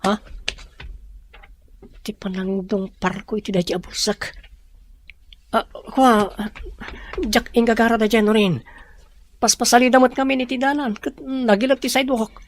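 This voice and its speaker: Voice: medium pitch, steady tone, measured pace, long pauses, slight background noise, close to an everyday voice. An actor playing an ardent young male suitor, eager and apologetic, in a radio drama with an Ilocano Filipino accent. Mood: sheepish, apologetic. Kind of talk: Philippine radio drama acting.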